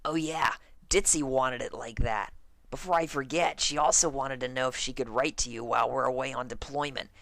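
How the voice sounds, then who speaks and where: Man voicing a breezy, cheeky pegasus mare, pitched up. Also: fairly even intonation, normal speed, gravelly, fairly bright tone, dry recording. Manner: nonchalant, teasing, casual. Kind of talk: fan fiction character reading.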